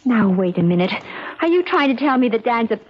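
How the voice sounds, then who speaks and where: A muffled vintage radio recording of a defensive wife. Her high voice leaps about in pitch. She is incredulous and agitated, in 1970s radio drama style. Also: very animated, fairly quick. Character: startled, defensive married woman. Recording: dull vintage radio broadcast.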